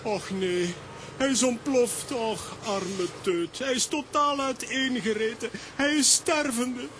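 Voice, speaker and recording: A man playing a warm-hearted, emotional cartoon hero in a children's audio drama, given to exclamation. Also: very high-pitched, slow pace, slight background noise, heavily acted. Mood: distraught.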